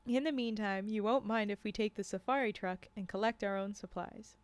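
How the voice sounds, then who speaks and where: A woman's voice: mid-range voice, a little fast, dry recording, lightly acted. Storybook hunting guide, male, read by a woman: cocky, joking, terse broken English, dry recording. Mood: boastful. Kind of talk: storybook character reading.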